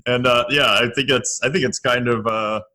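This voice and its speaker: A man's voice: medium pitch, fairly even intonation, normal speed, pushed and bright, slight background noise. Man with mid-range voice, joking casually. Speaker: podcast guest, comedy audio drama creator. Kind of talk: casual chat.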